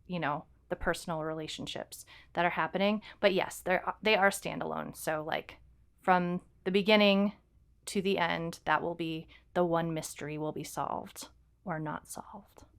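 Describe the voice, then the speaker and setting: A woman with a smooth, slightly low voice talks as a podcast co-host. Her delivery is casual and explanatory, in everyday informal language. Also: steady tone, normal speed. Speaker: podcast co-host and fiction writer.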